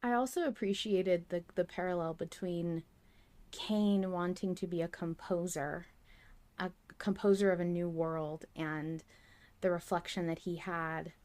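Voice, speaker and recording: Lowish-voiced woman hosting a podcast. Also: steady tone, measured pace, darkish tone. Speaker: podcast host. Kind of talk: casual, reflective fan discussion.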